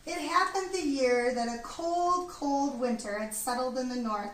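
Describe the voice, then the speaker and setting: A woman reading a children's storybook aloud in an expressive, deliberate storytelling manner. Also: sits high. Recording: echoey room, distant mic, background noise.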